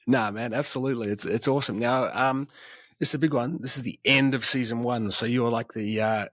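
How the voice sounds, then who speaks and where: A man hosting a podcast interview with a hoarse, strained voice that is nearly gone, chatting in a friendly way with plenty of restarts. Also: mid-range voice, steady tone, brisk, fairly bright tone, dry recording. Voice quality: raspy. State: hoarse, losing his voice. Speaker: podcast host. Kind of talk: casual interview chat.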